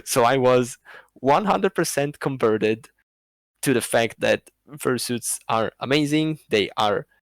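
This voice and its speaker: A man as a podcast guest, telling a story in a rambling, animated way with laughs between phrases. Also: mid-range voice, slow, dry recording. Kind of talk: casual podcast chat.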